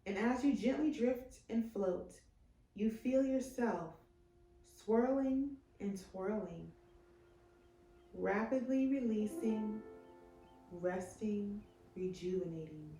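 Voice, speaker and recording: Female meditation guide, very unhurried and soothing, echoing room sound, scripted hypnosis-style delivery. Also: mid-range voice, mellow. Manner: soothing, deliberate, measured phrasing with trailing line endings. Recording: roomy echo, music behind the voice. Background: meditation music.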